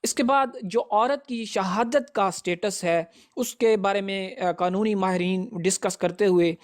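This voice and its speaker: Slow-paced man giving a lecture. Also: sits high. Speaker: advocate presenting law lessons. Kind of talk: prepared educational lecture.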